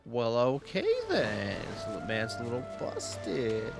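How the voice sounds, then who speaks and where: A man's voice: mid-range voice, very animated, a little slow, creaky. A man doing casual, slangy live commentary as he streams a video game, with wry interjections. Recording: loud game music behind. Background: loud video game music.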